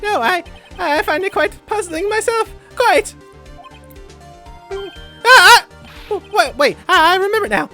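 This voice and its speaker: A man voicing a nervous, easily indignant murder witness in a video game, stammering and spluttering excitably. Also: very high voice, slow, pauses often, soft background music, voice pushed up, exaggerated voice. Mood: flustered, apologetic. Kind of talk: hammy video game character voice.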